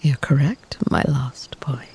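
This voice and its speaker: Woman acting a slow, low-murmuring protective vampire lady. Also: fairly even intonation, slight background noise, full character voice. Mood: concerned, tender. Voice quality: soft, breathy, often whispered. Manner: slow, soothing, doting, formal wording. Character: protective vampire woman, commanding to foes, tender lover. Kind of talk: ASMR roleplay.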